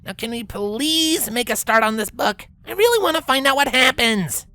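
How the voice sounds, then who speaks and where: A man's voice: very high-pitched, lively intonation, moderate pace, sharp tone, no room sound, faint music behind, voice pushed up, full character voice. A man voicing a cocky, brash young female pegasus athlete in a fan fiction reading, boastful and slangy. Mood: indignant, impatient.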